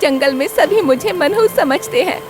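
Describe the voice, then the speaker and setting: A woman's voice: sits high, lively intonation, moderate pace, over music, voice pushed up. A woman voicing a meek, wronged female goat in a cartoon fable, plaintive and imploring. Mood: despairing.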